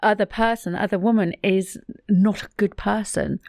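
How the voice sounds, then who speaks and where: A woman's voice: medium pitch, steady tone, normal speed, darkish tone, dry recording, studio quality. A middle-aged woman hosting a podcast, telling stories in a chatty way with many fillers and restarts. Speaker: podcast host. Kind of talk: casual chat.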